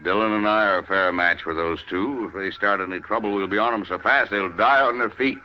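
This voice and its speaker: A confident, easygoing gunfighter in an old radio western, played by a man. He talks low in a folksy, self-assured way, through muffled sound with clear hiss. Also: brisk, lightly acted. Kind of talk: 1950s radio western acting.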